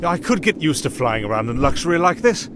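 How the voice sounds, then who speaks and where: Man voicing a cocky, lively, carefree young nobleman. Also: on the high side, brisk, background noise. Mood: teasing. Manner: brash, teasing, animated. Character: cocky, carefree younger vampire brother, pleasure-loving gambler. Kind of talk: scripted fantasy audio roleplay.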